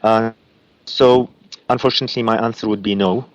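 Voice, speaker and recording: A man from Lebanon with an Arabic-accented voice, giving psychic readings as a guest and pausing often with fillers. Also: lowish voice, fairly even intonation, no echo, dull audio. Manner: halting, many uh and um fillers.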